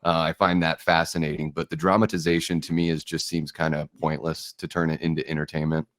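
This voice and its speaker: Male podcast co-host, deep register, conversational delivery, dry sound with no room. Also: flat delivery, a little fast, a bit bright, dry recording. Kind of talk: casual podcast discussion.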